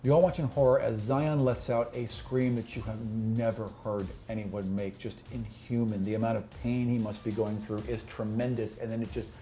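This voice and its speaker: A man's voice: fairly low pitch, fairly even intonation, moderate pace, few pauses. A man working as game master, narrating a tabletop fantasy game in a dramatic, descriptive way. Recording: loud music under the voice. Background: music.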